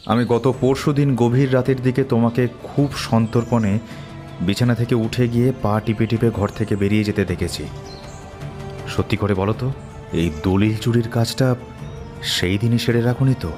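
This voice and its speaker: An actor playing a fed-up, suspicious husband in an audio drama, interrogating and accusing. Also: male voice, mid-range voice, steady tone, moderate pace, over music, lightly acted. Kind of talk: audio story drama acting.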